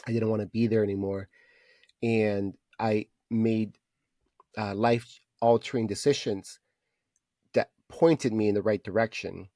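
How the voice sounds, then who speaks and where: A man's voice: fairly low pitch, fairly even intonation, measured pace, mellow, no room sound, clean studio sound. A man in his thirties chatting casually as a podcast co-host, encouraging and repeating phrases for emphasis. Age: 30s. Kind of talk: casual chat.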